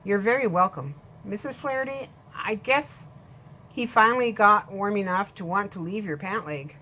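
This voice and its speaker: A woman's voice: medium pitch, moderate pace, bright, forceful tone, slight background noise, close to an everyday voice, low-quality recording. A woman voicing a blunt, friendly male storekeeper in a storybook reading, speaking in a matter-of-fact way. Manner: plain-spoken, matter-of-fact.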